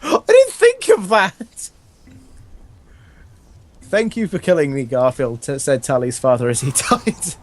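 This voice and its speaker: A man's voice: on the high side, big pitch swings, a little slow, pauses often. A man reading fan fiction aloud in a casual, comic way, amused and often breaking into laughter.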